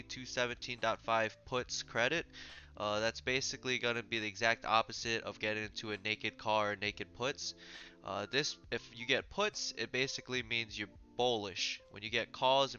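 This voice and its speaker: A man's voice: mid-range voice, fairly even intonation, moderate pace, sharp tone. A man with a stuffy, slightly sick voice, casually walking through an options trading tutorial with lots of "uh" fillers, on a dull lo-fi mic. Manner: casual, filler-heavy explaining with frequent "uh". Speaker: trading channel host. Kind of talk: screen-share trading tutorial.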